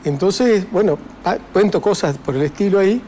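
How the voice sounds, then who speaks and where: Older argentine guy talking about his book, lots of pauses and restarts, faint hiss behind. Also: high voice, animated, mellow. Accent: Argentine Spanish. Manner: reflective, with many fillers and restarts. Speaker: author guest. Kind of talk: interview conversation.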